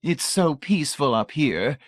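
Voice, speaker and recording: A gushing, syrupy matron with a sweet menace under every word, as a male narrator puts her on. She sings her words high and bright and sweeps through a wide, lively range. The voice sits close and dry, with no room around it. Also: unhurried, dry recording, exaggerated voice. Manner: gushing, syrupy and matter-of-fact.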